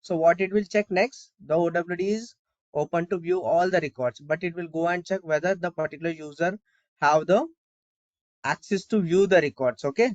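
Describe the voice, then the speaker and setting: Guy doing a software walkthrough, kinda high voice, repeats himself, dull dry audio. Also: moderate pace, no room sound. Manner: repetitive explaining with rhetorical questions. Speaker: software tutorial instructor. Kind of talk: informal step-by-step screen-share tutorial.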